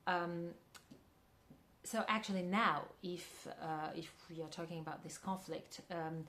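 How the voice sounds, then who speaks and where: A woman's voice: fairly low pitch, a bit bright. Thoughtful woman with a Russian accent. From Kyrgyzstan. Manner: thoughtful, searching for words with many pauses. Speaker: filmmaker and actress. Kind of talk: interview answer.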